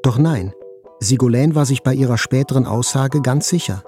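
An actor playing an attack survivor, telling events in a sober, precise, scripted literary narration. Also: male voice, medium pitch, normal speed, mellow, soft background music, natural voice. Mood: sober, detached.

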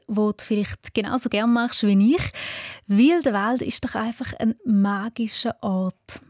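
A woman with a Swiss German accent hosting a children's radio programme in an animated storytelling way. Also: on the high side, no room sound, studio quality.

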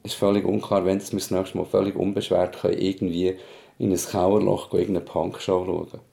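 A man with a Swiss German accent speaks in a deep voice with a mellow, dark tone. There is plenty of gravel in it. He talks frankly and colloquially, as in a casual personal conversation. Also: level tone, a little fast. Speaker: podcast host, parent.

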